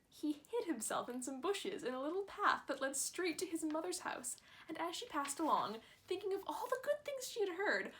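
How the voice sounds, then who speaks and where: Young female host, high voice, reads a fairy tale and breaks in with chatty asides. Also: fairly bright tone. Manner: chatty, self-deprecating asides. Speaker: channel host.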